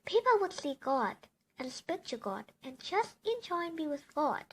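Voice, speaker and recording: A woman's voice: sits high, sing-song, normal speed, a little hiss or hum. A female reader narrating a children's bedtime story aloud.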